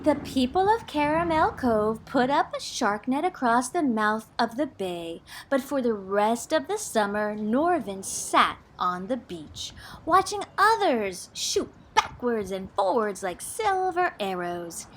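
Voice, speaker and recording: A woman reading a children's book aloud in a theatrical, expressive style, drawing words out for suspense. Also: on the high side, slow, a little hiss or hum. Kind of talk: dramatic, animated children's storytelling.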